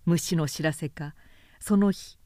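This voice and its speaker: A female narrator reads a literary audiobook in a low, bright voice. Her delivery is very slow, measured and dramatic, as in classic storytelling. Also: no room sound, clean studio sound.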